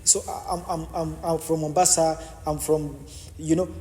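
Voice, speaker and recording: Male guest, high voice, explains with constant restarts; reverberant hall sound. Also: little pitch movement, normal speed, mellow, slight background noise. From Uganda. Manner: repetitive, many false starts and restarts. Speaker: podcast guest. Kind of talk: explanatory interview answers.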